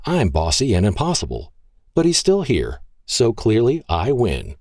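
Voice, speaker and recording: A man voicing a confident, bossy young woman who teases her partner with quick comebacks. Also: fairly low pitch, wildly swooping pitch, a little mellow, no room sound, studio quality, voice pushed up, heavily acted. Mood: teasing.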